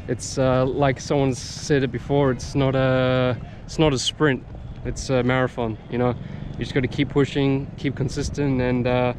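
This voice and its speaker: Young New Zealand man vlogging over street noise. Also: medium pitch. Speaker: language-learning vlogger. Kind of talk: casual vlog, telling own life story to camera.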